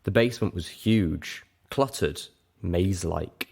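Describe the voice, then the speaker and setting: Low-pitched man narrating a story. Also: a little slow, creaky, a little mellow, husky. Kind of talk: dramatic first-person story narration.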